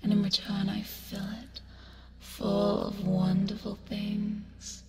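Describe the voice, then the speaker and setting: An eerie, tender female demon acted by a woman whispers a hypnotic ASMR roleplay over music. Her breathy words are drawn out extremely slowly, coaxing the listener in an even tone. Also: mid-range voice. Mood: soothing, possessive. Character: eerie yet soothing female sleep paralysis demon.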